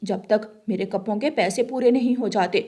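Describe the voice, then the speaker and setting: A woman's voice: medium pitch, normal speed, mellow, natural voice. A woman voicing a rich, cruel female bird employer, bossy, scolding and contemptuous, in a cartoon story. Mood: angry.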